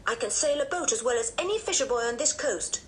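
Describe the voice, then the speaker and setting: A woman's voice: fairly high pitch, lively intonation, fast, a little hiss or hum, voice pushed up, lightly acted. A woman voicing a proud, sulky tomboy girl in a blunt, emphatic manner for a storybook. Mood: defiant.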